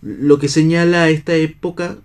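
An actor in a radio play voicing an idealistic worker-philosopher, declamatory and sententious. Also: male voice, fairly high pitch, level tone, normal speed, a little mellow, clear, resonant tone, natural voice. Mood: preaching, resolute. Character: idealistic man in worker's clothes, preachy philosopher. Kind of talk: read radio theatre.

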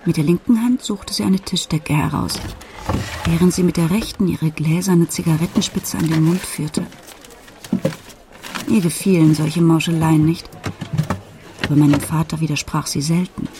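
An actress playing a grown daughter who retells her family's past in measured, matter-of-fact radio play narration. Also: sits low, fairly even intonation, background noise, natural voice.

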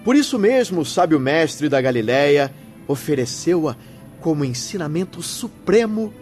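An actor playing a kindly, earnest spirit mentor in a radio drama, speaking in solemn, formal exhortation. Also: male voice, fairly high pitch, big pitch swings, unhurried, a little mellow, soft background music.

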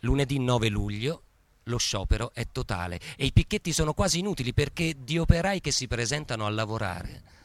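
A man's voice: medium pitch, expressive, brisk, no echo. A man giving a scripted, dramatic, newsreader-like narration as an actor in radio theatre.

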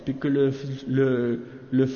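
A man's voice: mid-range voice, level tone, slow. A man giving a religious lesson in a casual, familiar way, often checking in with his listeners. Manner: casual, familiar talk with frequent "hein?" asides. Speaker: religious teacher. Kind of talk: lesson explaining a commentary. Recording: echoey room, dull lo-fi sound.

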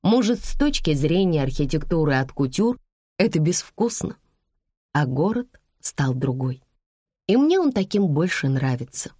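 A woman's voice: deep voice, very animated, a little slow, dark tone, no echo, clean studio sound, exaggerated voice. A woman voicing a weary, wry, plain-spoken woman driver in an audiobook, in a musing, matter-of-fact manner that turns firm when fed up.

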